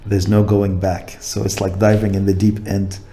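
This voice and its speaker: Relaxed middle-aged man, low mellow voice. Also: moderate pace, slight background noise. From Jordan. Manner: thoughtful, many fillers, laughs easily. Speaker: guest, coffee importer. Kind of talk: casual interview talk.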